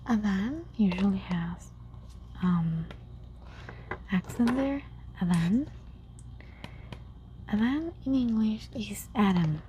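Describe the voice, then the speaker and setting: Give me a woman whispering an unhurried language lesson. Her voice is soft and mellow, and she says each word over and over. A faint hiss sits underneath. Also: medium pitch, lively intonation. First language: Spanish. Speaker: language teacher.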